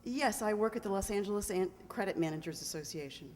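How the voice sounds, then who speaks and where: A woman's voice: medium pitch, animated, quick pace, darkish tone, natural voice. A woman playing a sincere, polite volunteer travelers' aid worker answering plainly as a radio quiz contestant. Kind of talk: recreated old-time radio quiz show. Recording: echoey hall with long reverb.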